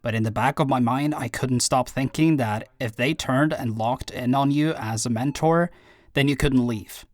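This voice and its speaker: A man playing a guilty, devoted brother and werewolf clan second in an audio roleplay drama, earnest and halting as he speaks. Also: mid-range voice, no echo, lightly acted.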